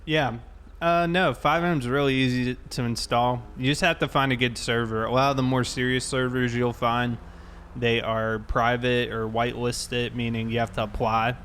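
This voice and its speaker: A man with a mid-pitched voice talks casually, like a streamer hosting a game session. He explains things in a conversational way. Faint vehicle noise and ambience sit behind him. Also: level tone, moderate pace, a bit bright. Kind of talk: casual chat while gaming.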